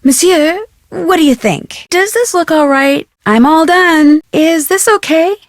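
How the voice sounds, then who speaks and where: An actress playing a curious, polite young American detective in a video game, speaking clearly and inquisitively. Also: female voice, very high-pitched, measured pace, no echo, clean studio sound. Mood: eager, polite.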